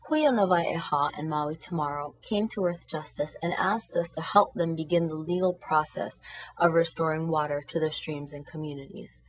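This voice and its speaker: A woman from Hawaii, a lawyer, giving measured, explanatory documentary narration. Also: low-pitched, rough recording. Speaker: environmental lawyer.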